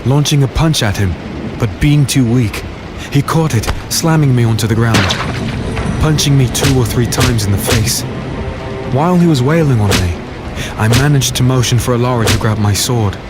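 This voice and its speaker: An actor playing a defiant, battle-worn swordsman who narrates and speaks in a dark fantasy audio drama, gritty and earnest. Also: mid-range voice, normal speed, over loud music, lightly acted. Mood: grim narrating. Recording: loud storm noise under voice. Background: rain, thunder and storm ambience.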